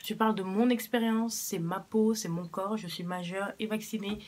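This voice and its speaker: A woman's voice: mid-range voice, fairly even intonation, moderate pace, a little mellow. Chatty young woman vlogger. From Cuba. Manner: chatty, frank, swears casually, many fillers. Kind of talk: casual chat to camera.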